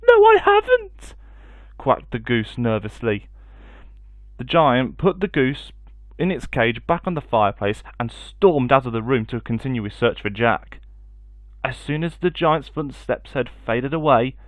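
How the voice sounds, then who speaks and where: A male storyteller with a mid-pitched voice, reading expressively for children. The recording is dry, with little room sound. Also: no echo.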